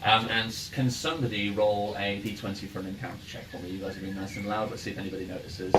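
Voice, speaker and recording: A man's voice: on the low side, steady tone, fast talker. A man running a tabletop game as game master, narrating casually and repeating words as he improvises. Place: in-person game around a table. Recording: distant mics, noisy background ambience. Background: ambient game music and noise.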